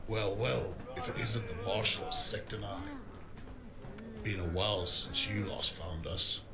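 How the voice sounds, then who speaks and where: A man's voice: lowish voice, a little slow, over a noisy crowd. A man playing a menacing, smug gang boss in a cyberpunk audio drama, taunting and mock-polite. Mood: smugly mocking. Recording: roomy echo, music behind.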